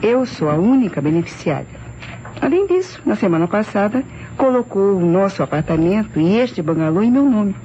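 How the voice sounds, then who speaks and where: A woman playing an uneasy Brazilian wife in a vintage radio mystery. She has a mellow, mid-pitched voice with swooping, theatrical intonation and a hesitant, defensive manner. The sound is dull with faint noise. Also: very animated, normal speed, close to an everyday voice. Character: uneasy, defensive wife of a missing rich man. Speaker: actress in a radio mystery serial. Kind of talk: old Brazilian radio drama acting. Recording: muffled, noisy old radio recording.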